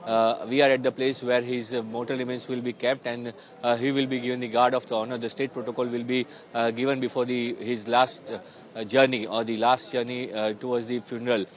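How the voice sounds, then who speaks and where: A male field reporter with an Indian accent delivers a live report from outdoors. His pitch stays fairly flat, and he hesitates often, restarting his phrases. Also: fairly quick. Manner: hesitant, repetitive, heavy on false starts. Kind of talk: live on-the-spot news report.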